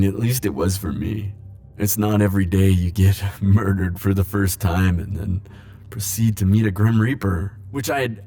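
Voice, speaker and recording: A man playing a lovesick, world-weary immortal in an intimate romantic audio roleplay, speaking haltingly and emotionally. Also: on the low side. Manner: halting, emotional, stammering confessions with soft wry asides.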